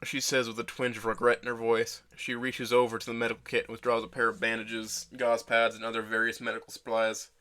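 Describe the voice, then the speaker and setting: A man voicing an injured, self-deprecating teenage boy who narrates his own story in a measured, wry, deadpan way for an audio drama. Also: medium pitch, natural voice. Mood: descriptive. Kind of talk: first-person scripted narration.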